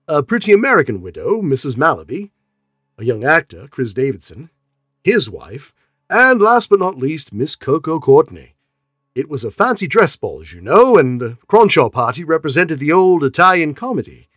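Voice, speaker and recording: A man voicing a hearty, condescending male police inspector who talks loudly and persuasively. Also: medium pitch, sing-song, normal speed, slightly rough, no room sound, clean studio sound. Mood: bluff.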